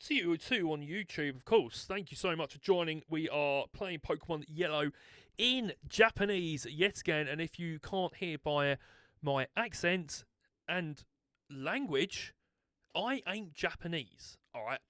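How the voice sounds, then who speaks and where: A young British man chatting his way through a live game stream, animated and full of restarts and filler words. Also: a little high, measured pace, dry recording, studio quality. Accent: British English. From United Kingdom. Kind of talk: live gameplay commentary.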